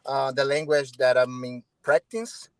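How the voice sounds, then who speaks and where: A man with a Brazilian Portuguese accent practices English in a relaxed video-call chat. He speaks haltingly, searching for words and restarting sentences. His voice is dry and close, with little room sound. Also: mid-range voice, smooth, no room sound. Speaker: English learner. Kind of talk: casual practice conversation.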